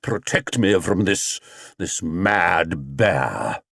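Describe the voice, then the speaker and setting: A pompous, theatrical stage actor with a booming, dramatic voice, put on by a male storyteller. He swings wide and loud, gruff and grand by turns. Close, dry reading. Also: big pitch swings, very slow, dry recording, exaggerated voice.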